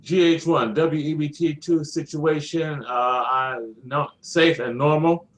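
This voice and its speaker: A man's voice: a little high, fairly even intonation, unhurried. A man making brief, formal procedural radio calls in clipped phrases as a trainee operator.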